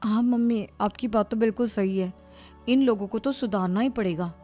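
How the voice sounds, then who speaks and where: A woman's voice: medium pitch, normal speed, warm tone, close to an everyday voice. A woman voicing a worried, earnest young daughter in a cartoon story. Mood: fretful. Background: faint background music.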